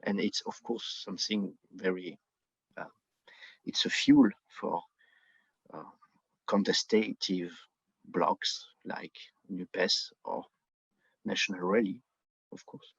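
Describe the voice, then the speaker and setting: French man, lowish voice, slightly dull sound. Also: slow pace, vocal fry, pauses often, breathy edge, no echo. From France. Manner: hesitant, searching for English words, repeats for emphasis. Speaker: podcast guest, book editor. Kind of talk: conversational panel discussion. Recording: slightly dull, lo-fi remote audio.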